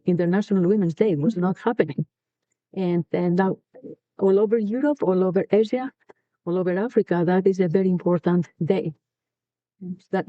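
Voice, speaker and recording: A middle-aged woman from Greece with a Greek accent, talking earnestly and thoughtfully in a casual podcast chat, often pausing with "um.". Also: lowish voice, mellow, dry recording, highs cut off. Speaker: co-host. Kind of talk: casual conversation.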